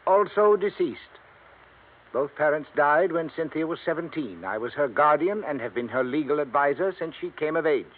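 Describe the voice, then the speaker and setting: A man's voice: a little high, lively intonation, moderate pace, narrow, tinny audio. A man playing a guarded, formal senior attorney in an old radio drama, precise and a little pompous. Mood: reserved. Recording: thin, band-limited vintage radio recording.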